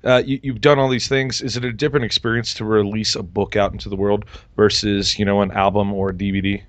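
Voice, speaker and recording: A tired male podcast host with a lowish, smooth voice, recorded dry and close. He rambles on with plenty of fillers and restarts. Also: little pitch movement, fast, no echo. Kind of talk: casual podcast chat and interview.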